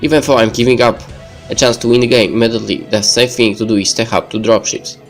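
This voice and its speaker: A man reads story narration from a video game aloud at an ordinary male pitch. Faint game music plays behind his voice. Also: steady tone, fast. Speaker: let's player reading a visual novel aloud.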